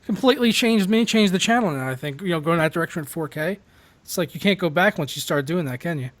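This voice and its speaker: Man, rapid pace, slightly high voice, excitable, swears, repeats words. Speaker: host. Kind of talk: casual tech chat.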